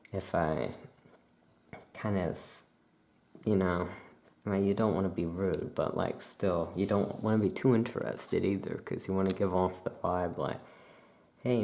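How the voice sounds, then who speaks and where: Soft, gravelly low voice for an easygoing witch shopkeeper ASMR roleplay; slow, rambling delivery. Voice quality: soft-spoken, low creaky voice. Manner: rambling, unhurried, trailing off. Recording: roomy echo, faint background noise.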